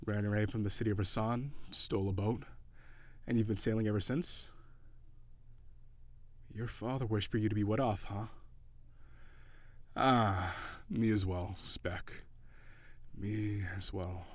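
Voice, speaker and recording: Man playing a haughty, low-voiced sea-monster prince. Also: steady tone, unhurried, long pauses, no echo. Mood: guarded, softening. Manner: formal, archaic, prissy diction. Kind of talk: audio roleplay acting.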